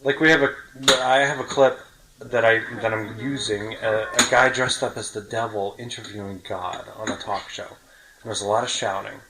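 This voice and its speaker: A man's voice: lowish voice, fairly even intonation, normal speed, crowd noise, low-quality recording. Man hosting a casual chat. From New York City. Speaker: podcast host interviewing a friend.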